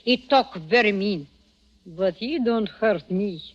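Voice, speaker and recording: An actress playing a brazen, flirtatious Cuban hustler with a Spanish accent and teasing broken English in a 1940s radio thriller. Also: female voice, medium pitch, animated, takes it slowly. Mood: teasing, seductive. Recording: dull old-time radio recording.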